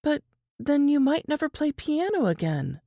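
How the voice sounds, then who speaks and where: A woman's voice: sits high, sing-song, normal speed, creaky, warm tone, no room sound, clean studio sound, voice pushed up, heavily acted. A woman playing an earnest, worried young Southern singer in a dramatized period novel, with folksy phrasing. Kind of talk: dramatized audiobook dialogue.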